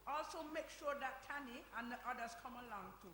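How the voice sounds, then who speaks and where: High bright female voice, actress as businesslike meeting chair, stage play, noisy echoey hall. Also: a little fast. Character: brisk woman chairing a community centre committee meeting.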